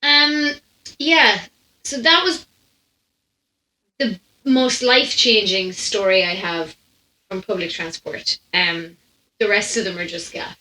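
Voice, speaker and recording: A woman's voice: mid-range voice, slow pace, long pauses, bright, forceful tone. A young Irish woman telling stories off the cuff as a podcast host, chatty and rambling with asides, on a stand microphone in a room at home. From Ireland. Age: young adult. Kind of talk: casual off-the-cuff storytelling. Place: small room at home, indoors. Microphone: microphone on a stand, close in front. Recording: roomy home recording.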